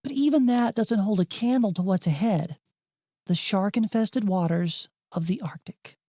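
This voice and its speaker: A woman's voice: medium pitch, moderate pace, mellow, no room sound. A woman reading scripted narration in an audiobook style, with wry, dryly sarcastic asides. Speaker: host and narrator of a video game retrospective. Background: music under the voice.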